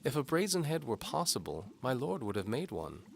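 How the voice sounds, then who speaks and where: Man voicing an earnest young apprentice woman, pitched up. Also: sing-song, normal speed, creaky, slight background noise. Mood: explaining. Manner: earnest, deferential, instructive. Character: earnest, humble young female alchemist's apprentice.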